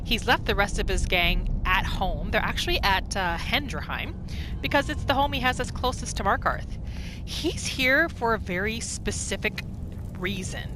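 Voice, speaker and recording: A woman hosting a gaming roleplay series, telling the story in short phrases with dramatic pauses. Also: fairly high pitch. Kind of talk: storytelling gameplay narration.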